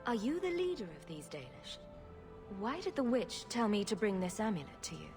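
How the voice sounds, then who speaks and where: An actress playing a wry, self-assured young adventurer heroine in a video game. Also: female voice, mid-range voice, very animated, moderate pace, music in the background. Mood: questioning. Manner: wry, questioning.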